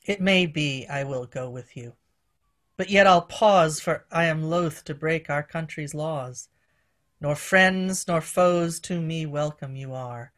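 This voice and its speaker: A woman's voice: deep voice, takes it slowly. A woman reading the part of a weary, resigned older duke. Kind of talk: reading a play aloud.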